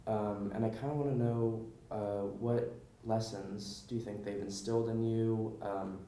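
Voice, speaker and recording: An American man conducts a conversational interview in a lowish, mellow voice. He is encouraging and affirms what he hears. The sound is roomy and echoing, with faint noise behind him. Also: steady tone, a little slow. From California.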